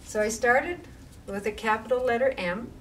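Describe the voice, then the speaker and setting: A woman reading to young children as a library storytime reader, with measured, expressive phrasing and casual asides. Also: a little high. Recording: distant mic with faint background noise.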